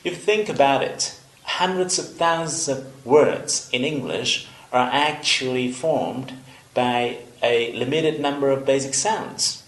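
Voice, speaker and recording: A young man whose first language is Vietnamese explains English basics with a Vietnamese accent. He speaks slowly and deliberately, pausing often with small fillers. His voice sits at an ordinary pitch and rings in an echoey room. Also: fairly bright tone. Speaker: English teacher. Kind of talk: slow instructional video lesson.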